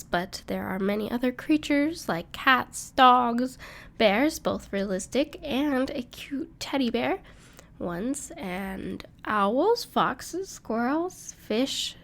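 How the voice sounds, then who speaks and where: A woman playing a gentle, bashful dragon in human form who loves cuddly things, speaking tenderly and reassuringly in an intimate audio roleplay. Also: fairly high pitch, animated, drawn-out words, gravelly, exaggerated voice. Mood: proud, delighted. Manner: tender, reassuring, playful, slightly hesitant. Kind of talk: soft-spoken intimate audio roleplay to the listener.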